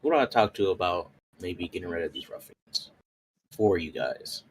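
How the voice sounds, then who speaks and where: A man's voice: low-pitched, big pitch swings, normal speed, slight background noise. A man playing a tabletop roleplay, speaking casually in character with a polite, chatty, slightly tentative manner.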